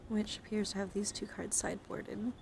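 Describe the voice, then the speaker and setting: A woman livestreaming in a casual ASMR style, chatting softly and informally to viewers and often slipping into a breathy whisper. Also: mid-range voice, little pitch movement, moderate pace, noisy background. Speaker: livestreamer hosting a card deck stream.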